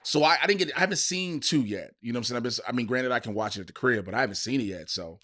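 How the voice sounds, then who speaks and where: A man's voice: mid-range voice, expressive, racing, bright, forceful tone. A middle-aged man chatting casually as a podcast host, telling stories in heavy slang with plenty of swearing.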